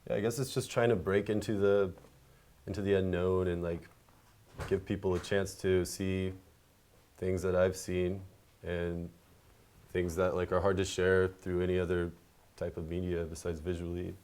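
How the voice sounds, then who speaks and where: A man's voice: sits low, little pitch movement, normal speed, darkish tone. A man answering casually as an interview guest, laid-back and hesitant, letting his sentences trail off. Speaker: guest, visual artist.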